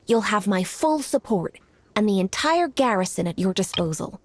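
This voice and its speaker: A woman playing a composed, dutiful female military commander in a video game, speaking in a measured, formal, businesslike way. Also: mid-range voice, animated, slight background noise, close to an everyday voice. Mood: earnest, measured. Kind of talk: scripted video game voice acting.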